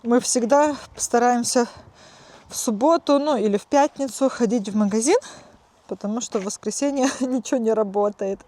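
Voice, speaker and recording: A woman in her thirties with a slightly high voice talks casually to a handheld camera. She explains things step by step and pauses on fillers as she walks about. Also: mellow. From Transnistria, Moldova. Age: 30s. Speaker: vlogger. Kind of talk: casual vlog talk to camera. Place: outdoors and at home, walking.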